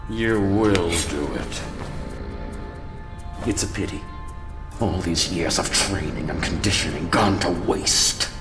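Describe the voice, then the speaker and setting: A man playing a haughty, cruel human lord and slave master in an animated fantasy game, pompous, condescending and menacing in a theatrical way. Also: low voice, takes it slowly, long pauses. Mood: cold, disappointed. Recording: echoey hall reverb under music.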